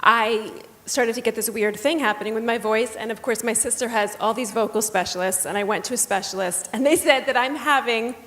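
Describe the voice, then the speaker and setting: A woman's voice: on the high side, brisk, hardly any pauses, fairly bright tone. A woman who is partly losing her voice, with a slight tremor, speaks earnestly and casually on stage at a book event. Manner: earnest, with frequent "um"s. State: partly lost voice, with a vocal tremor. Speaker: reading teacher and children's book author. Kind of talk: casual onstage book talk. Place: stage at a live book event in a large hall. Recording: long hall reverb.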